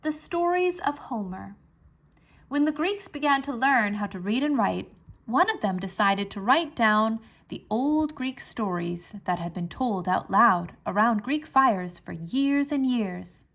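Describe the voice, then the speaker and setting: A woman with a fairly high voice reads aloud for young listeners as a storybook narrator. Her delivery is scripted and expressive, with clear enunciation and dramatic emphasis on key words. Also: measured pace. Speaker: narrator reading a children's history book aloud.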